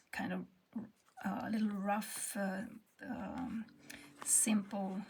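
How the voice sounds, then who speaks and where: A woman's voice: medium pitch, slight background noise. A woman with a Swedish accent, talking through her embroidery work in a hesitant, half-whispered way, often searching for words. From Sweden. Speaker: textile artist. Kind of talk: casual craft tutorial narration.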